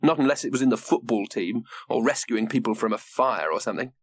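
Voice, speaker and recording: A man's voice: mid-range voice, fairly quick, dry recording, clean studio sound, close to an everyday voice. A man voicing a sulky, stubborn schoolboy in a children's story, grumbling.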